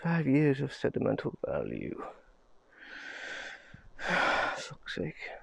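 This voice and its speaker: Man, thirties, unhurried drawl, gravelly and husky, muttering vlog to a phone camera in the woods. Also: medium pitch, wildly swooping pitch, a bit bright, no room sound. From Scotland. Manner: slow, muttering, swears under his breath. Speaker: vlogger. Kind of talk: casual vlog monologue. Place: pine forest outdoors. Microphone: handheld phone camera.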